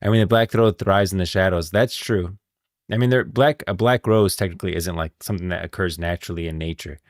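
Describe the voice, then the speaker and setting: A man with a deep voice hosts a livestream, captured close and dry. He chats casually and reacts as he goes, using informal slang and often thanking his viewers. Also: fairly quick, no echo. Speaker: livestream host.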